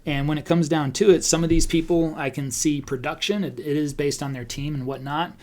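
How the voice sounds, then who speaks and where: A man talking casually as a podcast host, conversational and thinking out loud as he goes. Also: a little high, steady tone, a little fast. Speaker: podcast host and real estate agent. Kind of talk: casual talk about online video marketing.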